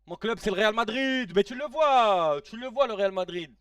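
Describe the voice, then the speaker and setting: Excitable slangy man in his thirties. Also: sits high, moderate pace, clear, resonant tone, dry recording, recorded from a distance. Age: 30s. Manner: excitable, slangy, shouts at players. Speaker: live-stream watch-along host. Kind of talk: casual live-stream chat and match reactions.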